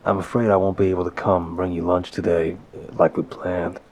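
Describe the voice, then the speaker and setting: A man playing a soft-spoken, apologetic mafia boss boyfriend in an intimate ASMR roleplay, hesitant and gentle, with a low, husky, breathy voice. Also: level tone, slight background noise, close to an everyday voice. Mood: hesitant, regretful. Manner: hesitant, gentle, sighing pauses. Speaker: audio roleplay performer. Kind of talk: ASMR audio roleplay, one-sided intimate phone call.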